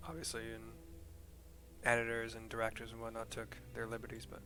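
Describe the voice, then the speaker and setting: A man's voice: mid-range voice, completely flat delivery, fairly quick, bright, forceful tone, rough recording. A man streaming a video game, narrating scenes and commenting casually with rambling, informal, sweary asides. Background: game music.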